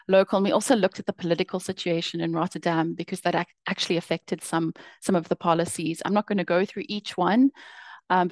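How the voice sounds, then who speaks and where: A young woman presenting her research over slides on a video call, explaining in a measured way with occasional fillers and restarts. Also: lowish voice, no room sound.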